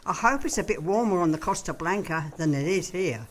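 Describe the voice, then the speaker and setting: A woman with an English accent playing a brash, quick-witted young shop assistant in a radio sitcom. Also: on the low side, lively intonation, slight background noise, close to an everyday voice. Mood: teasing, indignant. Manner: blunt, saucy retorts. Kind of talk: broad radio sitcom acting.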